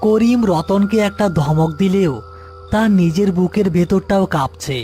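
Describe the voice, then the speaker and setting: High-pitched man narrating horror. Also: normal speed, dark tone, faint music behind. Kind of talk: scripted horror story narration.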